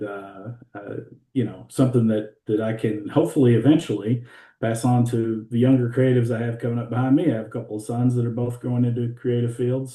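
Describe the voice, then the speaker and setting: Mellow middle-aged man, roomy and distant. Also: medium pitch. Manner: laid-back, many "uh" pauses, trails off softly. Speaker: guest designer. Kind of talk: casual self-introduction. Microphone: video call.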